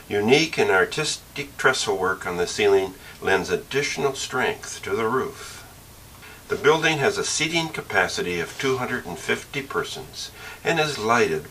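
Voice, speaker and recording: An older man with a low-pitched, bright and forceful voice reads from his book. His phrasing is measured and deliberate. He sounds set back from the microphone, with some hiss. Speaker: author reading from his book. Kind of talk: scripted narration, reading aloud. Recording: slightly distant mic with faint hiss.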